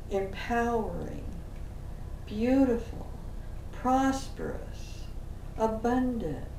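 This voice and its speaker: A woman's voice: mid-range voice, mellow, a little hiss or hum. A woman channeling a spiritual message for a group in a meeting room, speaking in an earnest, uplifting way and drawing out words. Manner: slow, earnest, uplifting delivery with drawn-out words. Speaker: channeling medium. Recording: roomy room sound from a distant mic.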